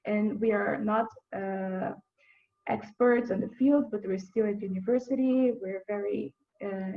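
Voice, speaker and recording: A young woman from Brazil, a university student giving an earnest, halting webinar presentation with frequent restarts. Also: mid-range voice, highs cut off. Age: young adult.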